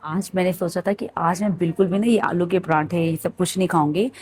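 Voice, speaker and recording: A woman in her thirties from India, with a Punjabi lilt, talks casually as she vlogs her day at home. Her voice is hoarse and a little worn, and she drops English phrases in mid-sentence. The sound is a relaxed home kitchen recording. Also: fairly low pitch, brisk. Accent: Punjabi-tinged Hindi. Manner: chatty, code-switches into English. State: hoarse throat. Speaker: vlogger. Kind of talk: casual vlog narration.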